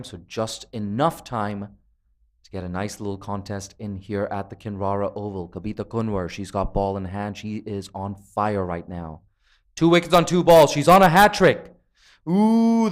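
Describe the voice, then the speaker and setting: A man calls a live cricket match from the commentary box in a medium-pitched voice. He talks in a chatty, self-deprecating way between calls. His voice sounds like it is tiring from long use. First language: not Nepalese.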